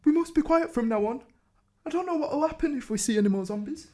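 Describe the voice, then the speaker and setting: A woman's voice: high-pitched, lively intonation, fast talker, darkish tone. A woman playing a timid but loyal, self-sacrificing rabbit in a fantasy radio drama, speaking urgently and cautiously. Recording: noisy ambience behind the voice.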